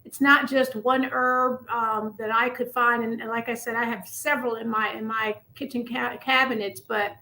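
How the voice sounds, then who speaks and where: High-voiced woman doctor in her fifties. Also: fairly even intonation, moderate pace, hardly any pauses, pushed and bright, low-quality recording. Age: 50s. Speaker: family physician and acupuncturist. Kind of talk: radio interview guest.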